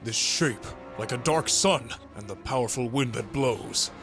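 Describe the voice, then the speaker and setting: A man's voice: mid-range voice, a little slow, gravelly, over music, pitched below the performer's own voice. A man voicing an earnest teenage boy card duelist, exclamatory and dramatic in a manga style. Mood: awed, shocked. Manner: exclamatory, intense, theatrical.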